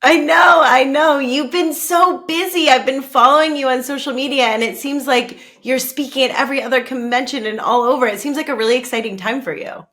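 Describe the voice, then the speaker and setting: Bright, bubbly woman podcast host. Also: high-pitched, fairly even intonation, brisk, hardly any pauses. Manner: bubbly, enthusiastic, laughs easily. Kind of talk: casual interview chat.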